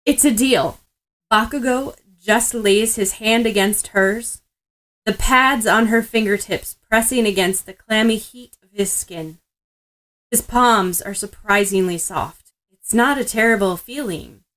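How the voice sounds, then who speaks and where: Woman narrating a story, medium pitch. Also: unhurried, fairly bright tone, poor audio. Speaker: podfic narrator.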